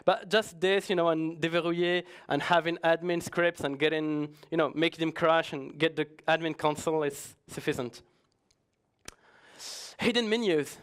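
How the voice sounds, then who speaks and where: A man's voice: on the high side, fairly even intonation, moderate pace, fairly bright tone, clear, resonant tone. A man giving a conference talk in a chatty, joking way, often hesitating and restarting. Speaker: conference speaker.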